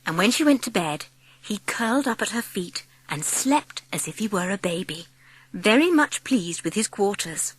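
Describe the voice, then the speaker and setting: A woman's voice: medium pitch, lively intonation, moderate pace, husky, poor audio. A woman reading scripted story narration for a dramatised audiobook.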